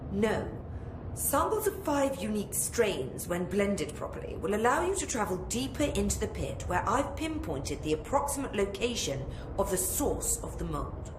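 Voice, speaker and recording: A woman's voice: mid-range voice, sing-song, normal speed, lightly acted. An actress playing a condescending female researcher in a video game cutscene, speaking in a curt, haughty manner. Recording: echoey room with background noise.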